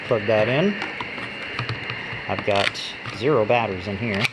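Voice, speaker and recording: A man's voice: lowish voice, measured pace. A man giving a casual, unscripted hands-on product demo in a plain-spoken way, talking as he handles the gear. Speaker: gear reviewer demonstrating walkie-talkies. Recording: loud radio static and background noise.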